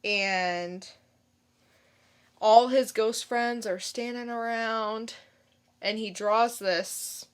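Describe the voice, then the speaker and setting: A woman's voice: a little high, steady tone, extremely slow, pauses often, clean tone. A woman co-hosting a podcast, talking casually and giving informal recaps. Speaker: podcast co-host. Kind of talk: casual chat and informal review.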